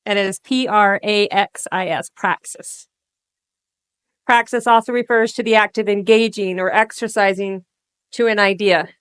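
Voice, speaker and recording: Smooth-voiced woman moderating a panel. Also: mid-range voice, steady tone, no echo. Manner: friendly, brisk, poses questions clearly.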